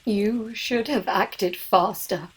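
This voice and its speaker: A woman's voice: medium pitch, slow, husky, rough recording. An actress playing a haughty elven noblewoman, commanding and cold in her grief. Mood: cold fury. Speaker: Lady Shiredove.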